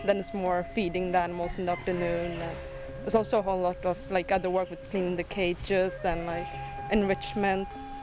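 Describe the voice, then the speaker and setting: A woman from Sweden talks casually about her daily routine as a volunteer. Her pitch stays level and she often says "like". Music plays clearly behind her. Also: little pitch movement, brisk, hardly any pauses. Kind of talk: interview.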